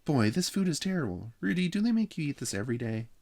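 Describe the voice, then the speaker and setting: A man voicing a blunt, whiny little boy with a high-pitched, loud delivery in a children's audiobook. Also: big pitch swings, fast. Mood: disgusted. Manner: loud, complaining.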